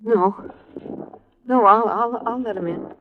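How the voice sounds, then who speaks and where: Grieving, anxious American widow. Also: female voice, a little high, a crowd in the background. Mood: anxious, fragile. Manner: halting, strained. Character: grieving, anxious middle-aged detective's widow. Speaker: actress in a radio detective series. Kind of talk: 1950s American radio drama acting. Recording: dull, faintly noisy vintage radio recording.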